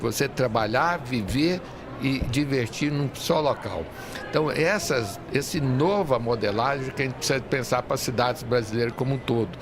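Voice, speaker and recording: A man's voice: mid-range voice, normal speed. A middle-aged man, explaining things earnestly in a conversational interview, often rephrasing as he goes. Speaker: real estate industry association leader, podcast guest. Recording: busy trade fair background noise. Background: urban fair ambience.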